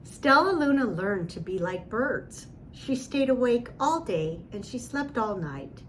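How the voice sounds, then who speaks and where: A woman reads a children's story aloud as narrator. She goes slowly and expressively at a medium pitch, with faint noise behind her voice. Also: sing-song, rough recording.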